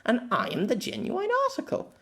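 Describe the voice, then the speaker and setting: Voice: mid-range voice, wildly swooping pitch, moderate pace, fairly bright tone, pitched above the performer's own voice. A performer voicing a proud young warrior mage girl who speaks formally and insistently in an audiobook story. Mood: prideful.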